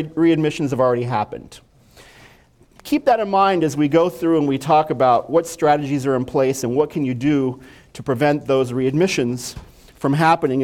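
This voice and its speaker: A man's voice: a little high, a little mellow. A middle-aged man from Mexico, a physician giving a conference lecture on stage in a friendly, conversational way, asking the audience questions. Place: dark stage, indoors.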